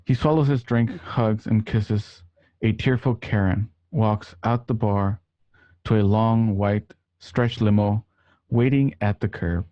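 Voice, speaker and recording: A man hosting and narrating an online radio play, with a somewhat halting delivery. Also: lowish voice, fairly even intonation, mellow, no room sound, muffled recording. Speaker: host and scene narrator. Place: home, remote online recording.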